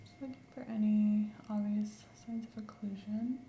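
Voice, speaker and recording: A woman speaking softly and slowly as a clinician in an ASMR roleplay exam, often dropping to a whisper. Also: medium pitch, level tone, echoey room, lo-fi sound, slight background noise. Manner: slow, gentle, instructive, often whispering. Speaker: ASMR creator playing an examining clinician. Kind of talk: soft-spoken roleplay physical exam.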